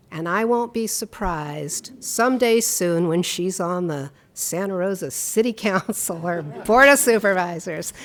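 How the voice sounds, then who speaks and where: A woman moderating a community workshop in a church hall, introducing the panelists with playful asides. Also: medium pitch. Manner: warm, playful, reading out a bio. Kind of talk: introducing speakers.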